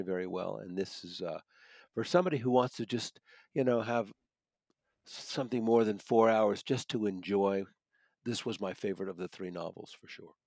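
A man with an American accent discussing books as a reviewer, halting and repeating words as he searches for phrasing. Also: on the low side, darkish tone, dry recording. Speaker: book reviewer, regular guest. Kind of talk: unscripted book discussion.

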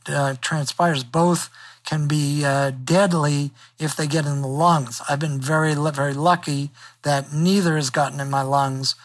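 A man's voice: on the high side, a bit bright, dry recording. A man in his sixties with a weakened, wispy voice, speaking as an interview guest, upbeat and plain-spoken. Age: 60s. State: recovering in hospital. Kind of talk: phone interview.

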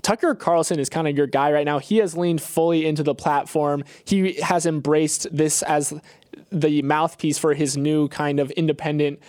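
A man's voice: fairly high pitch, fairly even intonation, normal speed, a little mellow, no room sound. A man co-hosting a podcast, talking in a casual, conversational commentary style. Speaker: podcast co-host.

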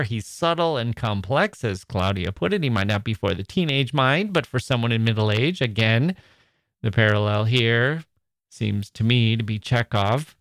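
Chatty, wry male podcast host, low voice. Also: no echo, clean studio sound. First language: English. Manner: wry, chatty, self-mocking, with laughs and asides to listeners. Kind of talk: scripted podcast narration.

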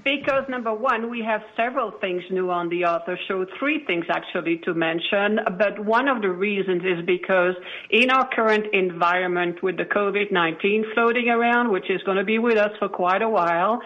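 A woman speaks as an interview guest, explaining in a conversational way. Her voice sits at a medium pitch, and the recording sounds somewhat dull, like online radio. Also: moderate pace. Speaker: interview guest, author show founder.

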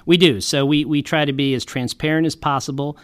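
A man's voice: a little high, fairly even intonation, fairly quick, no room sound. A man from a music royalty organization, explaining earnestly in a podcast panel interview, with frequent restarts.

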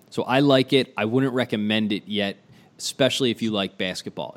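Tired, deep-voiced man hosting a podcast. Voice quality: deep morning voice. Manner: chatty, loose, many fillers. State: tired, short on sleep. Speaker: podcast host. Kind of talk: casual sports podcast talk.

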